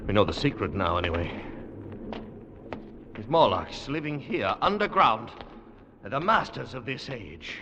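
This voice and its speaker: An actor playing an intense, commanding scientist and time traveller in an old-time radio drama, speaking in an emphatic, theatrical way. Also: male voice, medium pitch, fairly bright tone, dull audio, heavily acted. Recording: old radio recording with faint noise.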